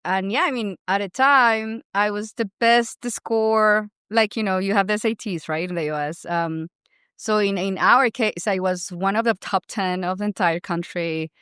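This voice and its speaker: A woman's voice: medium pitch, normal speed, a bit bright, clean tone, dry recording, studio quality. A woman in her thirties with a Colombian accent, a doctor and founder chatting as a podcast guest, filling her talk with "you know" and "like". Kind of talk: casual interview conversation.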